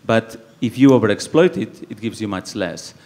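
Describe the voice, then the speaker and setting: A man's voice: lowish voice, lively intonation, normal speed, a little mellow. A man from Barcelona with a Catalan Spanish accent, speaking earnestly on a debate panel with many fillers and restarts. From Spain, Barcelona. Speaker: panelist, campaigner.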